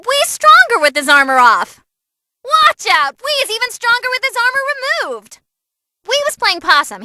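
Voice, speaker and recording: Squeaky, excitable young demon girl announcer. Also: no echo, clean studio sound, exaggerated voice. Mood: amazed. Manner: bubbly, cheeky, dramatic. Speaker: fight commentator. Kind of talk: animated fight commentary.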